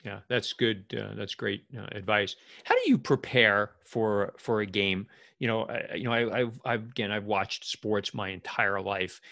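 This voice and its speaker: A man hosting a casual podcast interview, with halting phrasing and repeated starts. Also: fairly low pitch, sharp tone, no room sound, clean studio sound.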